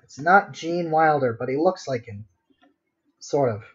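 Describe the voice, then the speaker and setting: A man's voice: a little high, animated, measured pace, dull audio. A man chatting casually on a drawing livestream, rambling to himself in a playfully dramatic way. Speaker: streamer, digital artist.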